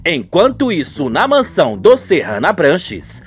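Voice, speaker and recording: Animated Brazilian male narrator. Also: high-pitched, unhurried. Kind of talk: scripted radio soap narration.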